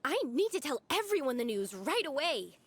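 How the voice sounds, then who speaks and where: A woman's voice: high voice, big pitch swings, moderate pace, no echo. A woman playing an earnest, self-doubting young village girl in a video game, with a hesitant manner. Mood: amazed. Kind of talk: video game character acting.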